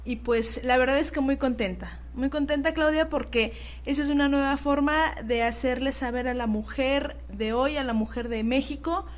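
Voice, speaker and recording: A Mexican woman speaking as an interview guest, in an earnest, emphatic, rhetorical way like a political activist. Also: sits high. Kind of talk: earnest speech-like interview talk.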